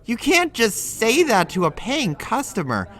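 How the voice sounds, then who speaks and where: Guy acting as a fed-up but caring buddy, chiding, casual, bright forceful voice, kinda slow talker, audio drama. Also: very high-pitched, wildly swooping pitch, heavily acted. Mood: exasperated, chiding. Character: exasperated but well-meaning male friend, blunt and casual.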